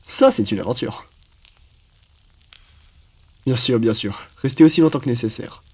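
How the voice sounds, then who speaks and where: A man playing a hospitable, wise phoenix in a French roleplay, speaking in a calm, reassuring and attentive way. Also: medium pitch, measured pace, breathy edge. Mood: welcoming, reassuring. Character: wise hospitable male phoenix welcoming travelers into his cave. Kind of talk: French roleplay ASMR storytelling.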